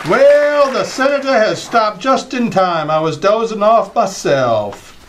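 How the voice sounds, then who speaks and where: A man's voice: high-pitched, lively intonation, measured pace, some chatter behind, a little hiss or hum. A man playing an inquisitive roving host and straight man in an old-time radio comedy, echoing what he hears. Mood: inquisitive, wry. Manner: inquisitive, echoes others' lines.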